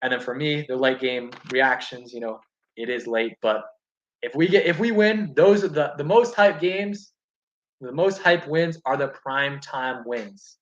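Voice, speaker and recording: A young man chatting casually on a live stream from home, reading viewer comments and answering in a relaxed, rambling way with many fillers. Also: medium pitch, unhurried. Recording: dull lo-fi stream audio.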